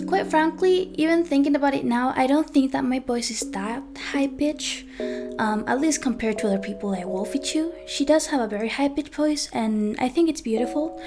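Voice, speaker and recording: Young woman, high-pitched voice, hesitant storytime monologue, clear guitar music behind. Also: animated, moderate pace. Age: young adult. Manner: hesitant, with frequent ums and self-corrections. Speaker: vlogger.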